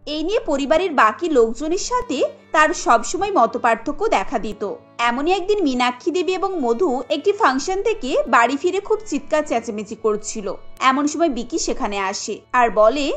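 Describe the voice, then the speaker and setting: A woman's voice: high-pitched, expressive, normal speed, a bit bright. A woman narrator reading a scripted cartoon family story. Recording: light music under the voice.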